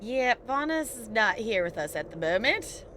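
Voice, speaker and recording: A woman's voice: on the high side, sing-song, moderate pace, bright, forceful tone, a little hiss or hum. A playful, chatty woman giving casual live gameplay commentary as a streamer. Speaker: game streamer.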